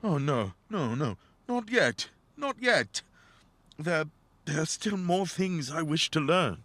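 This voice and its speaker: A man playing a frightened, pleading old miser in a radio play, halting and dramatic. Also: on the high side, lively intonation, fairly bright tone, no echo, full character voice.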